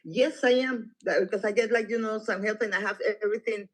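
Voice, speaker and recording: A man in his sixties from Costa Rica, a caller explaining his situation in a halting, rambling, repetitive and informal way. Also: high-pitched, fairly even intonation. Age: 60s. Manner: repetitive, rambling, hesitant speech. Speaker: caller seeking financial help. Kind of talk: halting, informal personal account.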